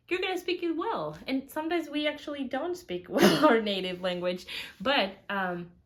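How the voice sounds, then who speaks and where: A young woman from Uruguay whose first language is Spanish talks to camera in English with a Uruguayan Spanish accent, hesitating with many fillers and restarts and laughing often. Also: medium pitch. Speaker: cooking channel host. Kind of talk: casual talk to camera.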